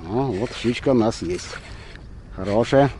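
A middle-aged man calmly commenting to himself while fishing from a small inflatable boat, picked up by an action camera outdoors. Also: medium pitch. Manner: calm, unhurried, talking to himself between casts. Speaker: fishing vlogger. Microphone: action camera on the boat and chest. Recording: outdoor recording with wind and water noise.

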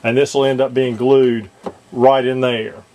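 A man casually showing how to fit a model cockpit kit in a workshop, talking haltingly with many fillers and restarts. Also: medium pitch, little pitch movement, a little mellow. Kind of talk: casual hands-on demonstration. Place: workshop, indoors.

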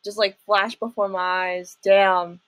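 A young adult woman giving casual reaction commentary as a video host, rambling informally and excitably. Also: mid-range voice, a little slow, no echo. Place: small room at home.

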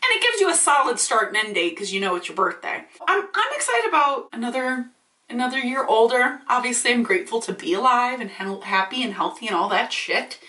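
A woman in her late twenties, vlogging in a chatty, animated, ranty way, swearing freely and a bit congested from allergies. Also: a little high, brisk. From Michigan. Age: 20s. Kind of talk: casual ranting vlog. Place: small indoor room. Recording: roomy echo, slightly distant.

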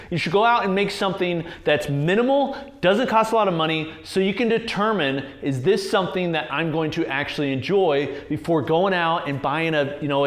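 American male, 30s, fitness video host. Fairly high voice, emphatic informal delivery, roomy echo. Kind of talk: informal explainer to camera. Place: indoor garage gym.

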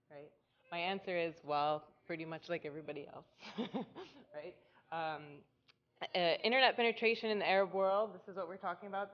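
A woman's voice: fairly low pitch, dull audio. A woman in her thirties giving a public lecture in a hall, talking to the audience in a conversational way and often checking in with "right?". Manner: self-described loud voice.

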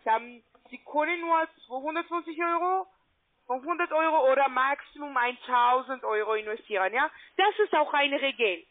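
A woman with a high voice speaks over a tinny phone line. She is a non-native speaker with a foreign accent and faulty grammar, pressing her sales pitch insistently as a call-centre adviser. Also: moderate pace. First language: not German. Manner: insistent, repetitive, broken grammar. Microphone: telephone.

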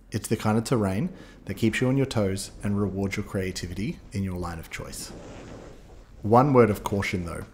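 Lowish-voiced man narrating. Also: a little mellow, a little hiss or hum. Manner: upbeat, guiding listeners step by step. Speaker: ski guide narrator. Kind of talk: scripted voice-over narration.

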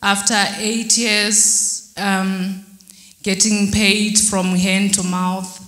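A young woman tells her story slowly into a handheld microphone, hesitating and restarting as she goes. Her delivery stays flat and even. The sound is roomy, with the echo of a large hall. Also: medium pitch. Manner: halting, hesitant, many fillers and restarts. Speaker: church member giving testimony. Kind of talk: testimony interview. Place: church hall backdrop, indoors. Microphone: handheld mic held by interviewer.

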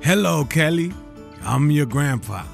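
A man's voice: fairly high pitch, lively intonation, a little slow, gravelly, soft background music. An actor playing a proud, fatherly new grandfather in an audio drama. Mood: playful.

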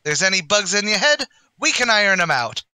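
A man's voice: high-pitched, very animated, a little fast, sharp tone, clean tone, dry recording, studio quality, exaggerated voice. A man playing a boastful, uneducated tavern manager in a radio comedy, with folksy, ungrammatical wise-guy patter. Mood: scheming. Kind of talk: old-time radio comedy acting.

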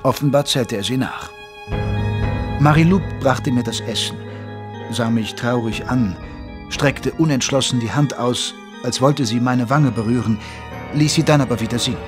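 A man acts a reluctant army doctor telling his story in a radio play. His mid-pitched voice is measured and sober with a dry, wry edge, over soft music. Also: lightly acted. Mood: weary, wry. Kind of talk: scripted first-person audio drama narration.

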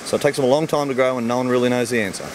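A middle-aged Australian man explains his fish farm in a relaxed, plain way, talking at a brisk clip. He speaks into a handheld mic outdoors beside the ponds, with a faint hum of ambient noise behind him. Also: mid-range voice. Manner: easygoing, explains simply with everyday comparisons. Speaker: fish farmer. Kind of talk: outdoor interview answering kids' questions. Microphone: handheld mic held by interviewer.

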